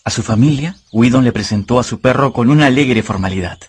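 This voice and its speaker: A man's voice: medium pitch, a little fast, husky. A man narrating a radio drama from a script in a storytelling style.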